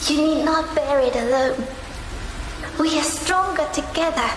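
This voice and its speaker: A woman playing a ghostly young sister in a video game, gentle, solemn and protective, with earnest formal speech. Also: high voice, measured pace. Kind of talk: video game cutscene acting. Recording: long echoing reverb, loud music behind. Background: loud music.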